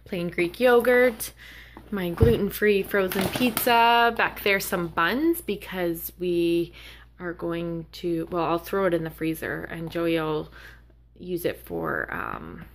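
A woman's voice: on the low side, takes it slowly. A young woman talking casually and a little hesitantly as she narrates a home vlog. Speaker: vlogger. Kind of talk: casual grocery haul narration, unscripted. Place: indoors at home. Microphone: handheld camera, held by speaker. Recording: clear background noise, handling clicks.

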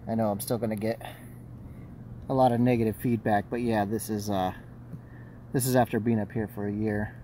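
A man giving a casual hands-on update as a video host, talking in an informal, halting, rambling way. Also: on the low side, steady tone, warm tone, a little hiss or hum. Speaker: tech video maker, host.

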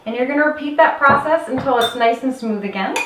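A woman's voice: sits high, quick pace, background noise. A woman in her thirties, a health coach, giving an upbeat step-by-step cooking demonstration in her home kitchen and talking straight to the viewer. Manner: upbeat, instructional, addresses viewer directly. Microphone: camera mic at a distance. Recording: roomy kitchen from a distance.

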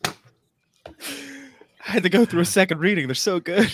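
A man's voice: high-pitched, moderate pace, a bit bright, a little hiss or hum. A man chatting casually with friends on a group video call. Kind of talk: casual chat.

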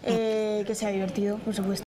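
A woman's voice: mid-range voice, little pitch movement, normal speed, crowd noise. A nineteen-year-old woman from Madrid, talking bluntly and frankly on a dinner date. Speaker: dating show contestant. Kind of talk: casual conversation on a dinner date. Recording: TV clip with background music.

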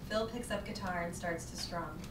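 A woman's voice: lowish voice, moderate pace. Female stage directions reader, scripted narration, distant in an echoing theatre. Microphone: far camera. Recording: echoey, noisy stage from afar.

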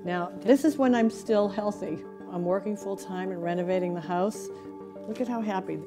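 A woman's voice: mid-range voice, normal speed, over music. A woman speaking earnestly and conversationally in a documentary interview. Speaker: support group founder.

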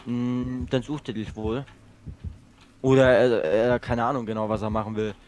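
A man's voice: mid-range voice, level tone, moderate pace, darkish tone, slight background noise. A young man playing a horror game with a friend, chatting casually and swearing, yelping when he gets chased. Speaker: gamer co-op player. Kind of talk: casual let's play chat.

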